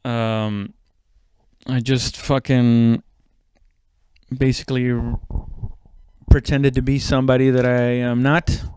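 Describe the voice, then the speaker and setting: Smooth, dry-recorded man rambling casually. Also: medium pitch, little pitch movement, slow, long pauses, warm tone, no room sound. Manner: self-deprecating, swears freely. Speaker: comedian and podcast host. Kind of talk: casual rambling comedic monologue.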